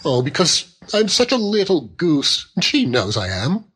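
A man's voice: on the high side, wildly swooping pitch, unhurried, fairly bright tone, husky, voice pushed up, full character voice. A man voicing a childish, plaintive young Victorian wife who pouts and wheedles in a storybook reading. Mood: coaxing, timid. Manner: pouting, plaintive.

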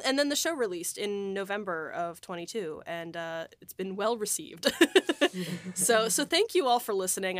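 A woman's voice: lowish voice, a bit bright, dry recording. A woman hosting a casual behind-the-scenes podcast chat, rambling along and peppering her sentences with "like". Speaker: host and audio drama writer-producer.

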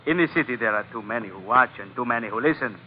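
On a tinny, faintly hissy vintage radio recording, a man plays a sly gangster lieutenant of about thirty with a Spanish accent. His halting English is insinuating and chuckling, in the manner of a 1940s radio play. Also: mid-range voice, natural voice. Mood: sly, friendly persuasion.

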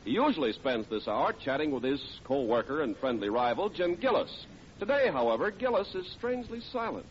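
A man's voice: fairly high pitch, big pitch swings, brisk, slight background noise. A man with an American accent announcing in the scripted style of a 1940s radio advertisement and show introduction. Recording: dull vintage radio broadcast.

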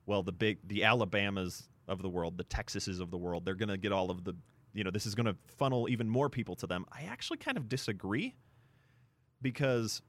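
A man's voice: fairly low pitch, expressive, fairly quick. A man co-hosting a sports talk show, explaining things point by point in a discussion. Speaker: sports radio show co-host.

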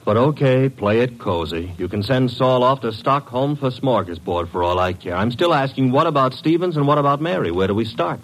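A man's voice: medium pitch, lively intonation, a little fast, hardly any pauses, fairly bright tone, close to an everyday voice, low-quality recording. An actor playing a cocky, wisecracking detective's legman, wry and slangy, in an old-time radio drama. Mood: skeptical, teasing.

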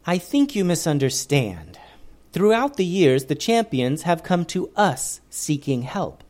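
A man reading a storybook aloud and voicing a wise female forest leader who speaks slowly and solemnly. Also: fairly high pitch, big pitch swings, darkish tone, pitched above the performer's own voice. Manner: slow, measured, solemn.

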